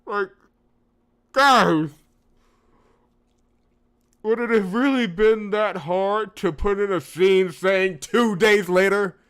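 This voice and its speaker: A man's voice: high-pitched, takes it slowly, long pauses, a bit bright, clean tone. A man hosting a let's play, reading visual novel text aloud and breaking off into incredulous, sarcastic commentary. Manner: exasperated, incredulous, sarcastic asides. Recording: music behind the voice. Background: background music.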